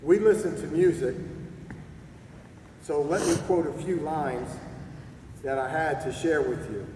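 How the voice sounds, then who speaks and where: A man's voice: a little high, mellow. Middle-aged man giving a heartfelt speech in an echoing hall. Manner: heartfelt, halting, with fillers and throat-clearing. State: losing his voice. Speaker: retiring army staff sergeant giving a speech. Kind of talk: emotional retirement speech. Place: ceremony venue. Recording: echoey distant hall recording with noise.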